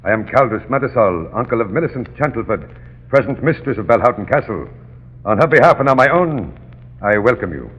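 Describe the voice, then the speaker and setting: A man's voice: medium pitch, very animated, brisk, fairly bright tone, slightly rough, muffled recording. A man playing a courtly, ominous uncle of a castle, formal and theatrical, in 1930s radio drama style. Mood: gracious. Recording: noisy, reverberant 1930s radio recording.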